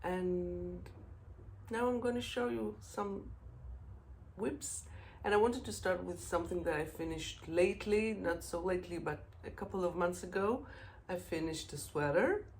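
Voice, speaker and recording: A middle-aged woman from Israel, a native Hebrew speaker with an Israeli accent, hosting a casual knitting podcast in halting English full of fillers as she searches for words. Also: on the low side, darkish tone, slight background noise.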